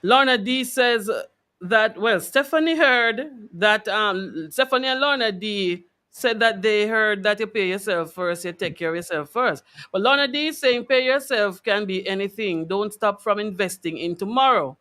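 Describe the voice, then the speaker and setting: A female radio host with a mid-pitched voice. She speaks conversationally and deliberately, rewording and listing her points so they are clear to listeners. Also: expressive, bright, forceful tone. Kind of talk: conversational live radio discussion, reading listener comments.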